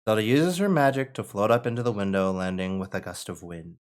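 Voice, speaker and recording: Low-pitched man narrating stage directions. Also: a little fast. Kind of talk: scripted narration at table read.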